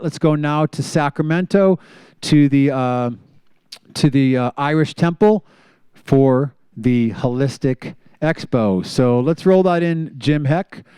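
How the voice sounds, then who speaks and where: A male host with a crisp, resonant voice, speaking in a dry close-up sound. He talks in an easygoing, eager way, tossing out fun facts and handing off to the next segment. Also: a little high, dark tone, no echo. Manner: enthusiastic, shares fun facts with a chuckle. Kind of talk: casual radio show hosting.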